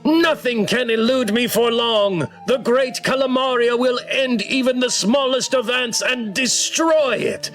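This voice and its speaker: A man's voice: very high voice, unhurried, hardly any pauses, fairly bright tone, soft background music, voice pushed up. A man voicing a female sea-creature conqueror in a visual novel, imperious, menacing and grandiose. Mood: boastful, threatening. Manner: grandiose, commanding, theatrical. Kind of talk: acted villain in a visual novel.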